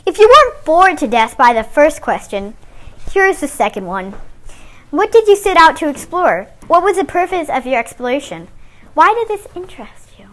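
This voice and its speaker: High-voiced young woman host. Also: sing-song, moderate pace. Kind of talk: school-project talk show.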